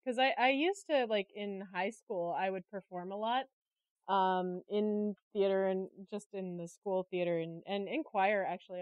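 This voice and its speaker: A woman's voice: mid-range voice, no room sound, muffled recording. A woman chatting casually as an interview guest, telling anecdotes and often giggly as she talks. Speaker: interview guest, creative director and artist.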